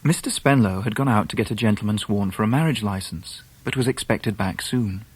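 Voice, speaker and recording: An actor playing a genteel Victorian gentleman who narrates his youth in a radio drama, with an English accent and a measured, wry, literary manner. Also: fairly low pitch, husky, no room sound. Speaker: narrator in character.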